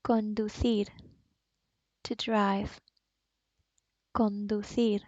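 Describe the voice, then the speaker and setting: A woman with a Spanish accent, a native Spanish speaker, teaching Spanish as a language teacher and pronouncing each word slowly and clearly. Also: medium pitch, flat delivery, darkish tone, dry recording. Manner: slow, clearly enunciated word drills. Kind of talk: language lesson. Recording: dull, dry voice-over.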